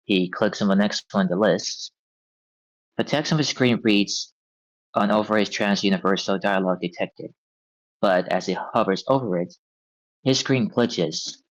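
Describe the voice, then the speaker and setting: A man's voice: lowish voice, moderate pace. A man reading stage directions aloud as the narrator of a script table read. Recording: dull, band-limited audio.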